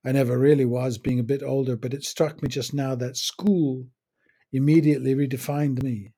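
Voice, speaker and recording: An older man muses over his memories in a measured, unhurried monologue. His voice is mellow and a little husky, and he lets short phrases fall apart with long pauses between them. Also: medium pitch, steady tone. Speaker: podcast host. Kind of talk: reflective personal monologue.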